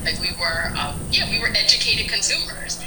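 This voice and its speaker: A woman answers interview questions earnestly and conversationally, leaning on likes and you knows. Her voice sits on the lower side. The feed is echoey, with music clearly audible beneath her. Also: moderate pace. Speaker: interview guest. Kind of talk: broadcast news interview.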